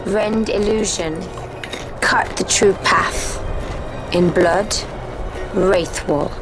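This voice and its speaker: A woman voicing a grave, composed and enigmatic woman in a fantasy video game, speaking in measured, formal, archaic phrases. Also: medium pitch, rough recording. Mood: solemn, mysterious. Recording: game audio with music behind.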